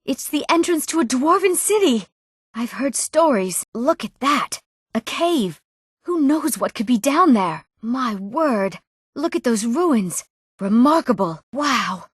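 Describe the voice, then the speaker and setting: A woman's voice: medium pitch, normal speed, a little mellow, dry recording, clean studio sound, close to an everyday voice. An actress playing an eager, earnest young townswoman in a fantasy video game, speaking in an articulate, well-spoken way. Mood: excited, awed. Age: young adult.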